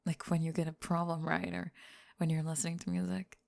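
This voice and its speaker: A woman voicing a young goth bully girl in an ASMR roleplay, halting and defensive as she hides a crush. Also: lowish voice, little pitch movement, darkish tone, voice pushed down. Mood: grudgingly smitten. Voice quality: breathy. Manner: tsundere, defensive, halting, backtracking.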